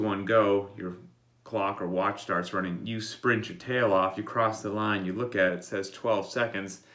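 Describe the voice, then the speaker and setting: A man's voice: sits low, fairly bright tone. A man coaching and explaining the day's workout to his members while congested from a cold. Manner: plain, explanatory, talks straight to the listener. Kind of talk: daily workout briefing.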